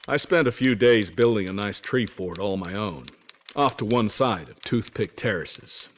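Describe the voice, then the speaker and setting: A weary male survivor and former soldier from a video game, telling his story as letters. His voice sits on the low side. He talks in a folksy, wry, grumbling way, like a storyteller resigned to bad luck. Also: expressive, normal speed, a bit bright, a little hiss or hum. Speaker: voice actor reading journal notes. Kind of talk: dramatic first-person letter narration.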